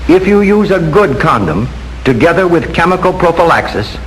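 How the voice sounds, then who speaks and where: An American man reads a scripted 1940s instructional film narration in a formal, measured, instructive manner. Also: mid-range voice. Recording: noisy 1940s film soundtrack with hiss.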